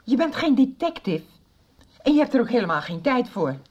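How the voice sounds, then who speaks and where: A stern, exasperated mother in a radio soap. Her voice is high and swings widely as she lays down the law. The recording is a little dull. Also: very animated, a little fast, a little mellow, husky. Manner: stern, scolding, emphatic. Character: strict, exasperated mother of a teenage son. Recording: muffled 1990s radio recording.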